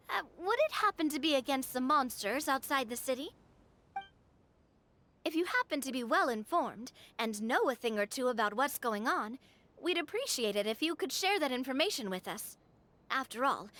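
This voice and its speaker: An actress playing a kind, upbeat young woman in a video game, who speaks in a warm, encouraging, gently persuasive way. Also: sits high. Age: young adult. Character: upbeat, kind young noblewoman with a sweet tooth.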